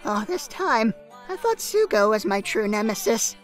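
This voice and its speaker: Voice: medium pitch, very animated, normal speed, soft background music, lightly acted. An actor voicing a sardonic, exasperated teenage gamer boy in an anime parody dub, sarcastic and melodramatic.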